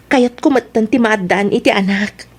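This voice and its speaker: A woman's voice: medium pitch, normal speed, breathy edge, lightly acted. A Filipino woman acting in an audio drama as a troubled, regretful wife and schoolteacher. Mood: earnest.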